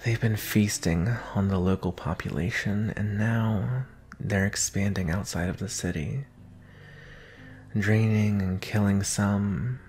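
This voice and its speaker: A young man playing an anxious, protective vampire boyfriend in an intimate roleplay audio drama, speaking in an earnest, halting way with sighs. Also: lowish voice, steady tone, a little mellow, faint music behind. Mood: somber, confiding. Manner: halting, sighing, earnest delivery.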